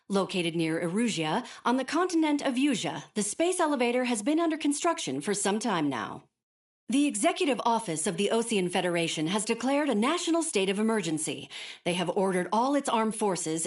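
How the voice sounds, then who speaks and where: An actress playing a formal, urgent TV news anchor in a video game, reading a broadcast report. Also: female voice, mid-range voice, far from the mic, natural voice.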